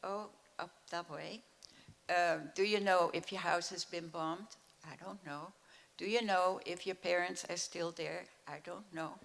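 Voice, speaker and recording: An older woman in her eighties calmly recounts her childhood for students at a school event, pausing with fillers. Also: lowish voice, gravelly. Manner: plain recounting, with hesitant fillers. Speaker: Holocaust survivor speaker. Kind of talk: personal storytelling at a school event. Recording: echoey school hall.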